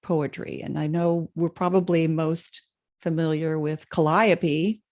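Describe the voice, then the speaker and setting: A woman's voice: sits low, fairly even intonation, measured pace, a little mellow. A woman co-hosting a casual spiritual chat, hesitant, with many fillers, stumbling over names. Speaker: podcast co-host.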